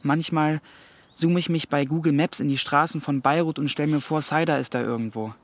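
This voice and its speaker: A man's voice: on the high side, fairly even intonation, fairly quick, close to an everyday voice. A young male actor playing a curious, stubborn teenage boy in naturalistic radio drama, sounding youthful and thoughtful. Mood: dreamy, reflective.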